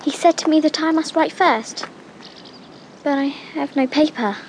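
A shy, homesick girl of nine in a period radio play. Her voice is very high and small. She speaks politely and hesitantly, with earnest care. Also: a little slow, a little mellow, a little hiss or hum. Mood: shy, wistful. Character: timid, homesick nine-year-old girl, poor cousin. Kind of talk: period audio drama acting.

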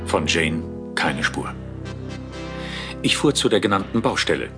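A male detective narrating his own horror story. His voice is low and the delivery scripted and dramatic. Music runs clearly behind him. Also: sing-song, unhurried, full character voice. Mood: gripping. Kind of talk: scripted first-person audio drama narration.

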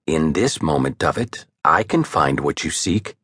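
This is a calm serpent-woman from a storybook, hushed and low, with a soft hiss on her words, put on by a male narrator. The voice stays quiet and breathy, with a slow, even patience. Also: no echo, studio quality, heavily acted. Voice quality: soft, hissing, hauntingly beautiful. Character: soft-spoken female serpent naga, ancient, calm.

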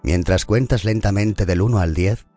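A man narrating a scripted guided meditation in a calm, soothing and unhurried manner. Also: fairly low pitch, soft background music.

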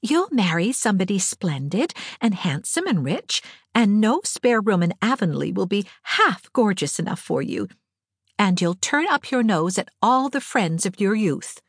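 A woman's voice: mid-range voice, sing-song, measured pace, husky, no room sound, studio quality, voice pushed up, exaggerated voice. A woman voicing a sentimental, anxious teenage girl in a storybook, earnest and plaintive. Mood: fond teasing.

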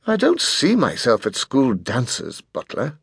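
A man's voice: mid-range voice, huge pitch swings, a little slow, gravelly, breathy edge, no echo, clean studio sound, exaggerated voice. A man voicing a haughty, precocious teenage boy genius with a pompous, precise, formal way of speaking. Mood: scornful.

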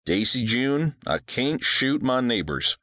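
A man playing a devout widowed rancher father from Texas, speaking softly and patiently to his young daughter. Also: mid-range voice, sing-song, slow, dry recording, studio quality, exaggerated voice. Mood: patient, gentle. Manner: soft, patient, reassuring.

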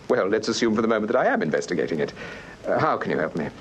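An actor playing a calm, probing gentleman detective in a British radio mystery, with Received Pronunciation and measured, urbane questioning. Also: on the low side, a little hiss or hum. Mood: probing, composed. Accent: English Received Pronunciation. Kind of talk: British radio drama acting.

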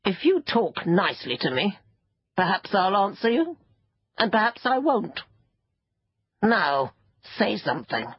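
A woman's voice: medium pitch, very animated, a little slow, long pauses, husky, dry recording, highs cut off. A woman voicing an ancient, furry sand fairy from a children's storybook, crotchety, haughty and scornful. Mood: grudging, haughty. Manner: scornful, peevish, self-important.

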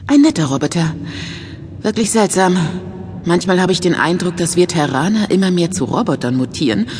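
Lively-pitched curious woman journalist acted, noisy backdrop. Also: brisk, lightly acted. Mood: reflective. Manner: reflective, polite, inquisitive. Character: composed, curious female journalist from Earth. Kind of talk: German science fiction audio drama acting. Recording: strong ambient noise behind the voice.

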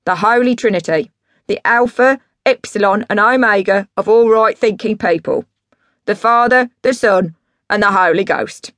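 Woman acting loud, drunken, grandiose dad. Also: mid-range voice, moderate pace, dry recording, studio quality, lightly acted. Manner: sweary, bombastic, declamatory. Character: drunk, boastful, grandiose middle-aged father, wannabe musician.

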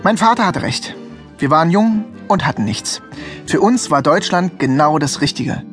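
A man's voice: fairly high pitch, lively intonation, moderate pace, fairly bright tone, soft background music. An actor playing a wry, easygoing young Russian man recounting his youth in an audio drama. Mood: wry, reflective. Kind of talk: audio drama first-person narration.

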